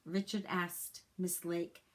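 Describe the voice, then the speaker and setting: Female storybook reader, mid-pitched, unhurried and expressive, read-aloud for young children.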